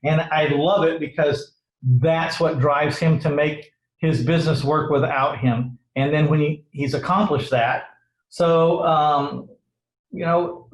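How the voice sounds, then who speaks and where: A man hosting a podcast in casual chat, joking and upbeat with his guest. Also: fairly high pitch. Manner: joking, upbeat, reads ad spots. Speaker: podcast host. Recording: roomy echo.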